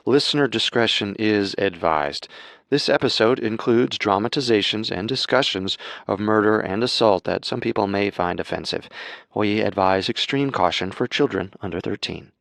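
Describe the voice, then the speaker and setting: A man hosting a podcast, delivering scripted true-crime narration in a measured, dramatic storytelling manner. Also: on the low side, creaky, fairly bright tone, no echo.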